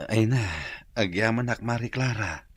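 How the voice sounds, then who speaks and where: An actor with an Ilocano accent playing an ailing, trusting and plaintive workmate in a radio drama. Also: male voice, fairly low pitch, moderate pace, breathy edge, no echo.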